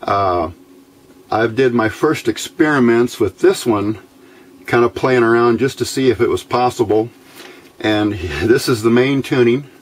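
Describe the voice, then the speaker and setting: An American man giving a casual, unscripted hands-on demonstration, thinking aloud in a halting way as he goes. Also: mid-range voice. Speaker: hobbyist radio builder presenting a how-to video. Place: small indoor workbench. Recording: noticeable background noise.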